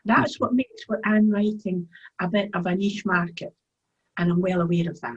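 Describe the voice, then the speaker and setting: A woman's voice: lowish voice, steady tone, normal speed, dull audio. A woman with a Scottish accent, chatting casually as an author being interviewed.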